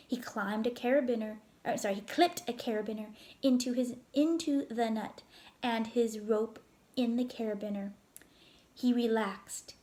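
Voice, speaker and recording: A woman narrating a children's story aloud in a deliberate, expressive way. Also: on the high side.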